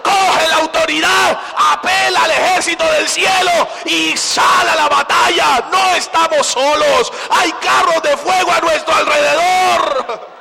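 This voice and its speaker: A man preaching a fervent sermon at a church service, exhorting the congregation in repeated shouted phrases. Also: very high voice, steady tone, a little slow, gravelly, a loud crowd, heavy background noise.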